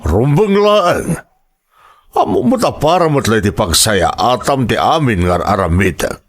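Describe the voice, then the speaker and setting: A man acting out a stern, overprotective Ilocano-accented dad in an audio drama. He is demanding and ambitious for his child, with an expressive, varied delivery. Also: mid-range voice, a little slow. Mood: stern, lecturing. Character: strict, overprotective father, demanding and ambitious for daughter.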